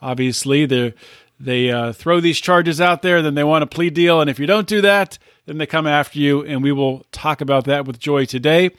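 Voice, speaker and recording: A man hosting an interview podcast, explaining things in a measured way, with halting restarts as he sets up his guest. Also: on the high side, steady tone, a bit bright, clean tone, dry recording, studio quality. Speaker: podcast host. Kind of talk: casual interview.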